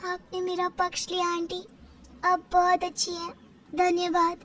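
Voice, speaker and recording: A woman acts out a small orphan girl for a moral cartoon story. The voice is very high and childlike, innocent and earnest. Faint noise sits behind it. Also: monotone, unhurried, a bit bright. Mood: grateful.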